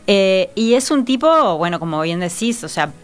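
A woman in her thirties from Uruguay, with a Uruguayan accent softened by years in Spain, answering interview questions thoughtfully with frequent pauses and fillers. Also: medium pitch, big pitch swings. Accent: Uruguayan Spanish mixed with Spain. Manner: thoughtful, hesitant, many fillers. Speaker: writer, interview guest. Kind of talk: conversational interview.

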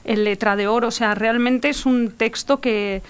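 A woman's voice: a little high, steady tone, moderate pace, clear, resonant tone. A middle-aged woman playwright from Argentina, raised abroad, telling her personal story reflectively in a conversational interview. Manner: personal, reflective, laughs often. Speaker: playwright guest.

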